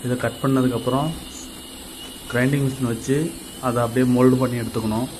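A man's voice: medium pitch, level tone, brisk, noisy background. A man from Tamil Nadu, a carpenter explaining step by step how to make a tool, casual and repetitive. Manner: plain step-by-step explaining, repeats himself. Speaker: carpenter teaching on his channel.

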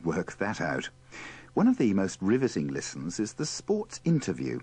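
A man's voice: low-pitched, wildly swooping pitch, normal speed, a little mellow, husky. A man presenting scripted links for a radio compilation in a dry, tongue-in-cheek manner. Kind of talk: wry scripted linking narration.

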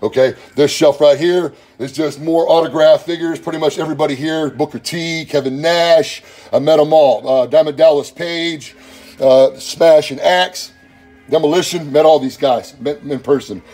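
A man in his forties giving a relaxed home tour vlog of his collection room, filmed on a handheld phone, talking in a loose, rambling way with lots of fillers and restarts. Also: a little high, normal speed. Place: home game and toy room, indoors. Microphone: handheld phone camera.

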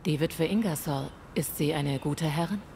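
An actress playing a composed, stern female ghost hunter in a video game, speaking formally, measured and direct. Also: low voice, creaky, warm tone. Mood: calm, probing. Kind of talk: video game dialogue acting. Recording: faint ambient noise.

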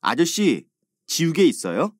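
A man voicing a friendly young learner in textbook dialogues, with clear and careful diction. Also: a little high, very animated, measured pace. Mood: polite. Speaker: dialogue voice actor.

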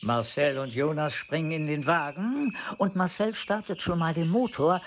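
A man's voice: a little high, normal speed, noisy background. A man narrating a scripted radio play as storyteller.

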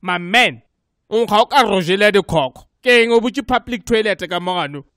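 A man's voice: sits high, animated, brisk, a bit bright, no echo. A man voicing a sarcastic, grumbling South African cartoon sidekick with a mocking, streetwise manner. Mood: mocking, impatient. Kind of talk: comedic cartoon dialogue.

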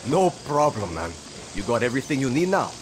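A man's voice: medium pitch, sing-song, a little fast, background noise, natural voice. A young man playing a cheeky, eager guerrilla fighter in a video game, speaking in a casual, wry way. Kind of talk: video game character dialogue.

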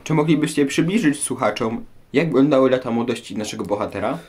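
A man's voice: mid-range voice, fast talker, darkish tone, husky. A young man presenting a scripted interview as host, in a formal, rhetorical manner. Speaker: radio programme host.

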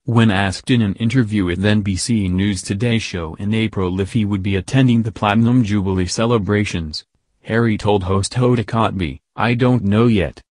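A man narrating a scripted news piece in an even reading manner. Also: lowish voice, normal speed, dry recording, studio quality. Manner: even, reading quotes in the same voice.

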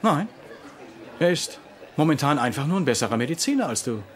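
An actor playing a caustic senior doctor who talks in a mocking, bossy way in a dubbed comedy series. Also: male voice, a little high, very animated, a little fast, over loud music, a loud crowd. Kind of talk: German-dubbed TV comedy acting.

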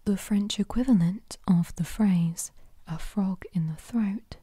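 A woman hosting an ASMR trivia quiz in a soft whisper, chatty and quick to correct herself. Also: mid-range voice, breathy edge, no echo, clean studio sound. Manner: chatty, self-correcting, giggly asides. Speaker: quiz host.

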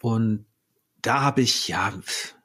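A man in his forties hosting a podcast, asking questions in a relaxed, chatty way with frequent ums. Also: on the low side, slow, breathy edge. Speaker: podcast host. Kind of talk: casual interview conversation.

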